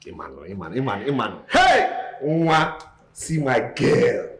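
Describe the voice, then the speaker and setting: Man as lovestruck young Nigerian guy in a comedy skit, exclamatory, bit high-pitched. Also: very animated, normal speed. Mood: exuberant. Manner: teasing, exclamatory, mixes in local words. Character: smitten young man, playful and flattering. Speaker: actor.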